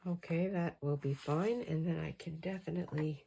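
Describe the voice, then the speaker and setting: A middle-aged woman with a hoarse voice chatting in a relaxed, rambling way as she crafts at her table late at night. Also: sits low, normal speed, a little hiss or hum. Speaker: crafting channel host. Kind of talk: casual crafting chat.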